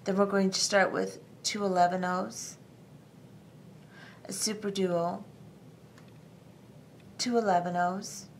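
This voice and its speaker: A middle-aged woman hosting a step-by-step beading tutorial, talking calmly and methodically with frequent ums. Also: medium pitch, takes it slowly, pauses often, slight background noise. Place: tabletop craft workspace, indoors.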